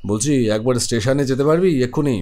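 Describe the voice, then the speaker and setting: An actor playing an older uncle who calls his nephew on the phone, brisk and familiar, in an animated story. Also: mid-range voice, close to an everyday voice. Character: older male uncle, calling about his son.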